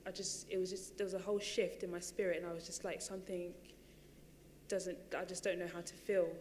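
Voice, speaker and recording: A woman's voice: on the low side, a little mellow, long reverb tail, slight background noise. A woman and running coach telling her story at a panel talk, chatty and full of fillers and restarts. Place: large theatre stage.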